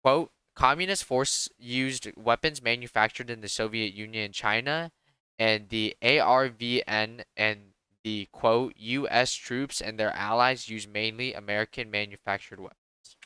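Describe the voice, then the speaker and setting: Teen or young male student from Vietnam reading a class report, bright clean tone, dry sound. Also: fairly low pitch, unhurried, clean tone, no room sound. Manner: reads steadily from a script, stumbles on words now and then. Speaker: student presenter. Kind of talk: reading a research presentation.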